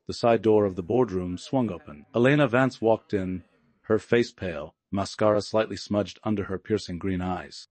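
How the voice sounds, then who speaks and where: A man narrates dramatic fiction in a low-pitched voice, captured dry and close with no room around it. He delivers the scripted prose as an audiobook storyteller. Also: darkish tone, no room sound.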